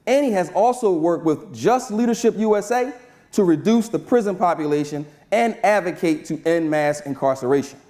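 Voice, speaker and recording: A man's voice: sits high, expressive, moderate pace, dark tone. A man hosting a men's breakfast show, upbeat and conversational, who reads the guest's bio and then keeps asking "right?" as he talks. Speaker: host. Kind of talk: casual interview. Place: event room with a live audience.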